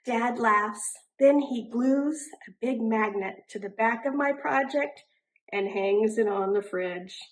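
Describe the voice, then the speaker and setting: Slow, high-pitched woman reading a storybook. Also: rough recording. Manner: animated, deliberate, drawn-out emphasis on rhyming words. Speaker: teacher reading a picture book aloud. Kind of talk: expressive children's storybook narration.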